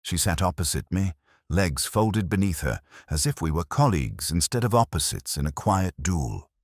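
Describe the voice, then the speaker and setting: A man narrating a scripted first-person detective story in measured, literary phrasing. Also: low voice, expressive, no echo, studio quality.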